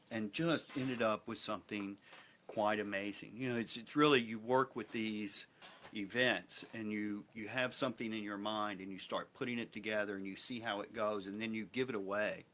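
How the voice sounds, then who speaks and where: A middle-aged American man with a fairly low voice speaks conversationally as a guest. He is reflective and halting, building phrases by repetition, with faint noise behind him. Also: fairly even intonation, fairly bright tone. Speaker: theatre producer and interview guest. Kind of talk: conversational interview talk.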